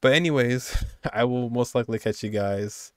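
A man's voice: mid-range voice, normal speed, clear, resonant tone, dry recording, studio quality. A man, giving informal, amused reaction commentary as a streamer. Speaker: streamer reacting to game videos. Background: faint music.

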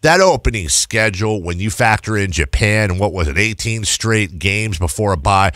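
A man's voice: fairly low pitch, expressive, normal speed, fairly bright tone, dry recording. A man hosting a sports radio show, asking chatty, meandering questions into a close studio microphone. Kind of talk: casual sports radio interview. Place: small padded radio studio.

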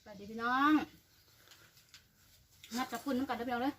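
A mother with an Isan accent, co-hosting a home eating vlog in a quick, chatty way. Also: on the high side, fairly even intonation, a bit bright. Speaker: mother and co-host. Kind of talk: casual eating vlog chat. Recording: noisy outdoor phone recording.

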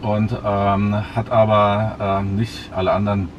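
A middle-aged man in a parked car, explaining features slowly and hesitantly with many fillers. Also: fairly low pitch, flat delivery, a little hiss or hum. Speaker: car owner demonstrating his car. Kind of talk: casual explaining.

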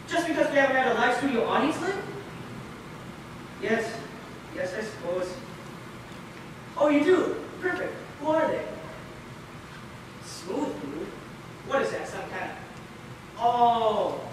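A young man on stage playing a fussy, flattering radio show boss in a theatrical, projected way. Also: extremely high pitch. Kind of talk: amateur stage play acting. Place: large indoor theater stage, far camera. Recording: echoey stage from far off, loud noise.

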